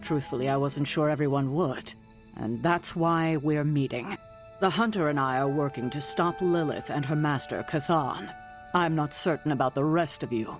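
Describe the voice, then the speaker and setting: A woman voicing a stern, weary team leader in a game, speaking in a measured, earnest, formal way. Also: low voice, music in the background, lightly acted. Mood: grave.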